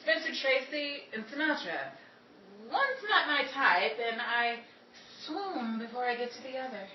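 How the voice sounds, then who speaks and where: Woman acting as brash young 1930s hollywood hopeful, high swoopy voice, wisecracks, theatrical comedy. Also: lively intonation, a little slow. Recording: distant dull echoey recording, noisy audience.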